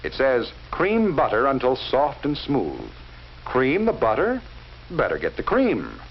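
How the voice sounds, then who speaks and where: A man narrating a 1940s educational film in a measured, instructive way, speaking directly to the viewer. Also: mid-range voice, huge pitch swings. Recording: dull lo-fi 1940s film soundtrack with faint hiss.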